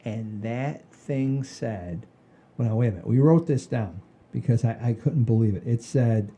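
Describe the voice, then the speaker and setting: Mellow-voiced man telling rambling stories. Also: mid-range voice, measured pace, slightly rough. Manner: rambling, repeats himself, folksy "I says". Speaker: comedy podcast host. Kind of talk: casual comedic chat, telling anecdotes.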